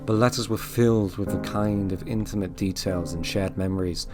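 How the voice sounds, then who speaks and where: Man acting as solemn storyteller, lowish voice, measured dramatic narration, clear music underneath. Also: steady tone, close to an everyday voice. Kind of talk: scripted audio drama narration.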